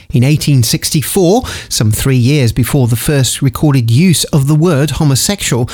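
Guy reading radio news, slightly high voice, lively, dry close sound. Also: no echo.

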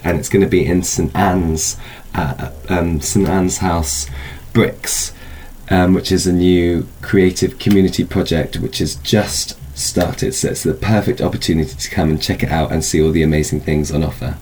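A man with a very deep voice talks as an interview guest about an event. His phrasing is thoughtful and hesitant, and faint noise sits behind him. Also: fairly even intonation, moderate pace, a little mellow, low-quality recording. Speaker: film festival spokesperson, guest. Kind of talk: informal interview, explaining an event.

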